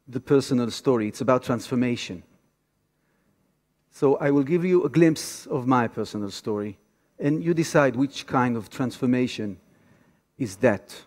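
Halting Israeli man in a reverberant hall. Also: mid-range voice, darkish tone. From Tel Aviv, Israel. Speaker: activist guest lecturer. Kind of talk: informal public lecture with personal storytelling. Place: on stage in a large theater auditorium.

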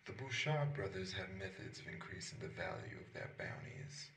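A man playing a gruff, protective demon best friend in a roleplay audio drama, speaking in a measured, frank and loyal way. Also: low-pitched, animated, a bit bright. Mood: matter-of-fact. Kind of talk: roleplay audio drama, one-sided dialogue. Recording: roomy, distant, noisy recording.

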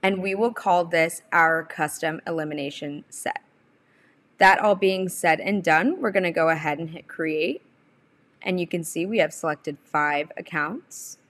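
A young adult woman presenting a software tutorial, explaining each step in an informal, instructional way. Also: lowish voice, measured pace. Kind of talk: instructional screen-share walkthrough.

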